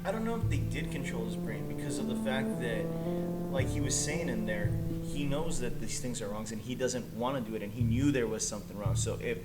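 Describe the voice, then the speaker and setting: A man with a mid-pitched voice chats casually as a co-host on horror stories. He talks in a slangy way, working out his thoughts aloud. Music is clearly audible behind him. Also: expressive, fairly quick. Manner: slangy, conversational, thinking aloud. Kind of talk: casual chat. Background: background music.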